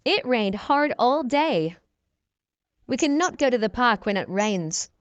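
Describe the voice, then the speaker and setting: Slow, high, lively woman teacher. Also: no room sound, dull audio. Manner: reads short phrases slowly and clearly, repeating each exchange. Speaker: English teacher. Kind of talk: reading practice dialogues for learners.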